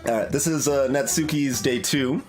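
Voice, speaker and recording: A man's voice: mid-range voice, moderate pace. A man giving casual, analytical game commentary and reading poems aloud, hesitating as he thinks things through. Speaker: video game streamer doing a blind playthrough. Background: soft plucked guitar music.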